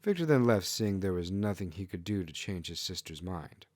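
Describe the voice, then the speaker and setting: A man's voice: low-pitched, animated, normal speed, darkish tone, dry recording, studio quality. A man reading scripted narration from a fantasy novel as its storyteller. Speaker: author narrating own novel.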